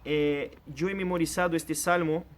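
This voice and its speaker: A man's voice: on the high side, fairly even intonation, normal speed. A man whose first language is Portuguese, preaching a sermon in an earnest, direct way and addressing the listener personally. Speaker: pastor.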